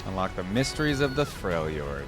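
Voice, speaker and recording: A man's voice: low voice, big pitch swings, normal speed, music in the background. A man hosting a reaction video, giving chatty, informal, off-the-cuff commentary. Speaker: reaction video host, streamer.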